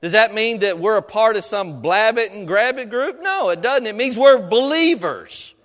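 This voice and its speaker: A man's voice: high voice, animated, normal speed, a bit bright. A middle-aged man preaching a sermon in a folksy, exhorting manner, punctuating his points with questions and amens to the congregation. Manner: folksy, colloquial phrasing. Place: preaching to a congregation in a large room. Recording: reverberant hall with long echo.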